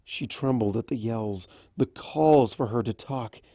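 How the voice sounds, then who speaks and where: A storyteller reading fan fiction aloud as an expressive scripted narration. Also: mid-range voice, unhurried, no echo, studio quality.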